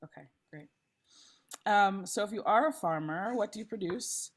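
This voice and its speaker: A woman facilitating an online meeting, a little hoarse, friendly and chatty with frequent ums, speaking through a laptop mic on a video call. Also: medium pitch, moderate pace. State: slightly hoarse. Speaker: session facilitator. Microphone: laptop mic, then headphones.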